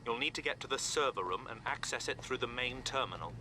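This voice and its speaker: A man's voice: medium pitch, quick pace, lightly acted. Man as precise intelligence tech, noisy background. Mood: businesslike. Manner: precise, businesslike. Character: efficient male intelligence tech briefing an agent. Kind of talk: cinematic video game acting. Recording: clear vehicle and ambient noise.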